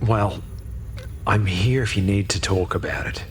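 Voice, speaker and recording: An actor playing a brash, roguish spaceship captain in a video game, speaking in a cheeky, informal way. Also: male voice, lowish voice, expressive, unhurried, faint music behind. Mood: sympathetic. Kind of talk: scripted video game character dialogue.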